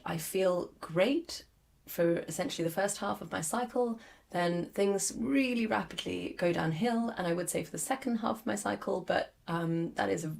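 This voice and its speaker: A woman in her forties hosting a podcast, hoarse as her lost voice comes back, talking candidly and reflectively with many fillers. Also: fairly low pitch. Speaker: podcast host. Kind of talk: solo podcast monologue.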